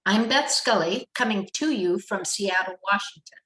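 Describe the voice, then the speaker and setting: A woman hosting a podcast interview, speaking in a friendly, measured way. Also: mid-range voice, fairly bright tone, roomy sound, rough recording. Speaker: podcast host.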